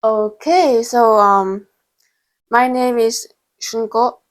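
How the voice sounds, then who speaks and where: A young woman from Thailand, a guest in an interview, answering in a thoughtful, measured way with soft fillers. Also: a little high, fairly even intonation. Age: young adult. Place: indoors in China.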